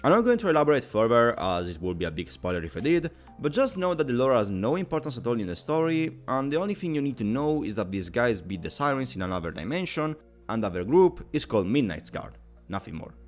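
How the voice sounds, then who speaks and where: Animated male reviewer over background music. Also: mid-range voice, quick pace. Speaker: video game reviewer. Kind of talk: scripted review narration.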